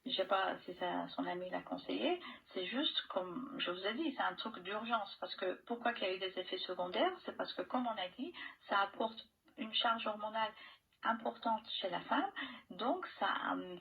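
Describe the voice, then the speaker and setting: Lady doctor explaining stuff on a radio call-in, lots of vocal fry, muffled shortwave sound. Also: medium pitch, animated, moderate pace. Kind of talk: explaining medical advice in conversation. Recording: dull, lo-fi shortwave radio broadcast.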